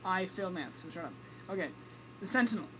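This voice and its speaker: A woman's voice: lowish voice, lively intonation, fast talker. A woman co-hosting a radio show, chatting casually through movie reviews in a hesitant, hedging way. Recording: noisy amateur recording.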